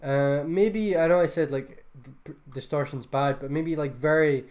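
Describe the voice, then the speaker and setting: A man's voice: a little high, normal speed, darkish tone. A man, a music producer, reviewing beats in a casual livestream, laid-back and enthusiastic, loose with fillers. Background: faint beat music.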